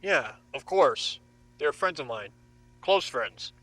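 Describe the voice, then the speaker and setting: A man's voice: fairly high pitch, expressive, takes it slowly, fairly bright tone, heavily acted, poor audio. An actor in an audio drama playing a frightened, defiant young man held captive, with an agitated, confrontational manner. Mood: subdued.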